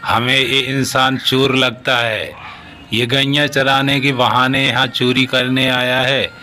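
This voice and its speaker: Slow, bright-voiced pompous old guru played by a man. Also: mid-range voice, level tone, slight background noise. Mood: suspicious, commanding. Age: older. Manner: pompous, slow, instructing a disciple. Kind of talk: cartoon story dialogue.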